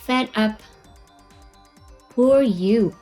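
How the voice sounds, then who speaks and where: Indonesian-accented young woman teacher, slightly high voice, very slow and deliberate, roomy echo under soft music. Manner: slow and deliberate, demonstrating phrases. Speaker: language teacher. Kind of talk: language lesson presenting.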